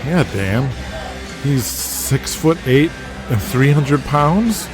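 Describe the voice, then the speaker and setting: Male actor doing young tipsy sidekick, cocky, excitable, lively intonation, unhurried, audio drama, music behind. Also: mid-range voice. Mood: incredulous. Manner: slow, loose, excitable.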